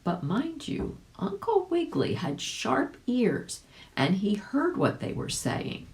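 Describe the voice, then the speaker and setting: A woman reading a children's story aloud in an expressive, animated way, addressing young listeners directly. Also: a little high, slow. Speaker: volunteer audiobook reader.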